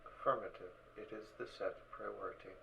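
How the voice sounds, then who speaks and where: A man playing an emotionless suit computer in a fan audio drama, speaking in flat, clipped, procedural phrases. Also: fairly low pitch, brisk, creaky, slightly rough, muffled recording, heavily acted. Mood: impassive. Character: emotionless male spacesuit computer voice, formal and literal.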